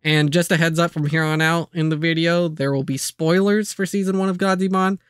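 A man's voice: fairly high pitch, steady tone, brisk, smooth, no room sound, clean studio sound. A man hosting a video channel, narrating a scripted overview with light, self-aware asides.

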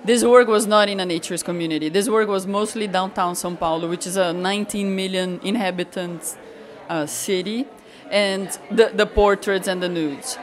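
A woman's voice: medium pitch, fairly even intonation. Thoughtful woman photographer in a gallery. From Brazil. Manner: thoughtful, searching for words with pauses. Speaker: photographer being interviewed. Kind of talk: gallery interview. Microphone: handheld mic held by interviewer.

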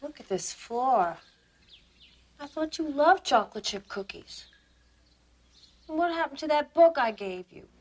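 A middle-aged woman acting in a film as a polite, matter-of-fact suburban lady who calmly plans to cook a boy. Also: high voice, measured pace, long pauses. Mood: mildly scolding. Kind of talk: film acting, understated menace. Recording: film soundtrack with faint noise.

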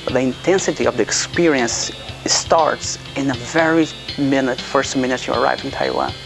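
A man's voice: mid-range voice, normal speed, gravelly. A man from Brazil in his thirties, a doctoral student speaking earnestly in an interview, with frequent hesitations. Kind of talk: interview testimonial. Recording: music bed behind voice.

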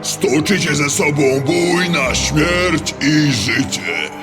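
A menacing orc warrior in an audio drama. He declaims very slowly with swooping pitch, haughty and threatening, at a distance under loud music. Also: very animated, full character voice. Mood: menacing proclamation.

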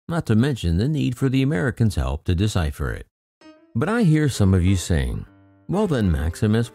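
A man's voice: on the low side, warm tone, dry recording, clean studio sound. A man hosting an aviation channel, reading news reports aloud in a scripted commentary and adding wry, skeptical asides. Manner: reads news quotes, then adds wry skeptical asides.

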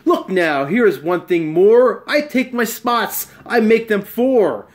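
A man's voice: high-pitched, animated, takes it slowly. A man voicing an eager spotted animal in a storybook, boastful and pleading as it shows off. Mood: proudly showing off. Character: eager spotted animal showing off magic tricks. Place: small bright room at home.

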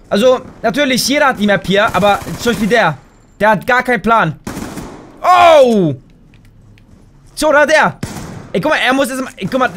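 A young man commentating his own gameplay on a headset mic, excitable and quick to shout, a little hoarse and tired. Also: high-pitched, a little hiss or hum. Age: young adult. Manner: excitable, exclaims and shouts at the game. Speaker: gaming YouTuber. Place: home gaming room, indoors.

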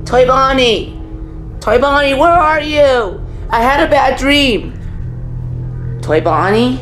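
A woman's voice: sits high, takes it slowly, fairly bright tone. A woman voicing a frightened young female animatronic chick in an amateur horror drama, breathless, melodramatic and pleading, with music behind her. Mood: worried searching.

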